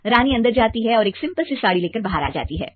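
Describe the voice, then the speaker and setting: A woman's voice: fairly high pitch, very animated, fast talker, dry recording, phone-quality sound. A woman storyteller narrating a scripted family tale.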